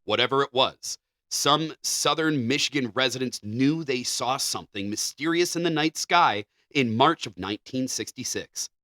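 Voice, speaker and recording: Male co-host with a crisp, bright edge, reading stories aloud in a conversational way. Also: mid-range voice, expressive, moderate pace, no room sound. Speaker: podcast co-host. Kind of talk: casual chat and reading news articles aloud.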